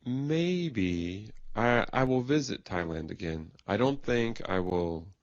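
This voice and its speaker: A man speaking slowly in a simple, carefully worded conversation made for English learners. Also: lowish voice, expressive, no room sound, muffled recording. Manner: plain, careful wording. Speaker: conversation partner in English lesson.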